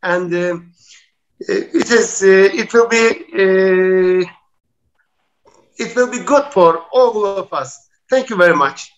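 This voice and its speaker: A man with a notably high voice co-hosts and interprets a live interview. He is a native Turkish speaker and pauses often, repeating words as he goes. The sound is dull and slightly muffled. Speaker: programme co-host and interpreter.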